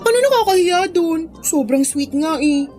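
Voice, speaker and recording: An actress playing a gushing, easily thrilled young college friend in a Filipino radio drama, with a Filipino accent. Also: female voice, extremely high pitch, animated, normal speed, a little mellow, soft background music. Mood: teasing, admiring. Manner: gushing, exclamatory.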